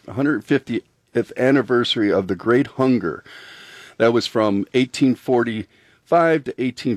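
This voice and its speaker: A man's voice: lowish voice, no echo, clean studio sound. A man giving informal community club announcements as a contributor on a radio show.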